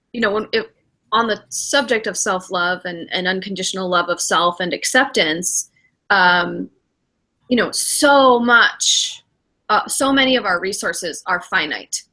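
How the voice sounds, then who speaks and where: A woman's voice: medium pitch, slow, pauses often, sharp tone. A middle-aged woman, a spiritual director hosting a casual podcast chat, reflective and acting out her own inner thoughts as she talks.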